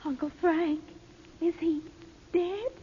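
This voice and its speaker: Curious, excitable girl, extremely high and youthful, vintage radio drama, muffled sound. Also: takes it slowly. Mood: frightened wonder. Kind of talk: old-time radio drama acting. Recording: muffled, hissy old radio recording.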